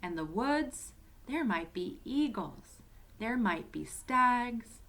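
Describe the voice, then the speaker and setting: A woman's voice: on the high side, very animated, slow pace, fairly bright tone, exaggerated voice. A woman voicing a confident, adventurous schoolboy, the eldest brother, in an emphatic, eager and bossy storybook reading.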